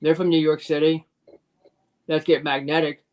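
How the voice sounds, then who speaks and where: A man's voice: on the high side, level tone, normal speed, a little mellow. A man around sixty chatting casually as a guest on a live stream, often repeating himself. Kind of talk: casual chat.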